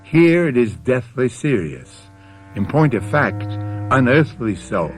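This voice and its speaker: A man hosting and narrating a radio drama in a scripted, deliberate, ominous and musing manner. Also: mid-range voice, sing-song, a little hiss or hum. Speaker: host and narrator. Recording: music under the voice.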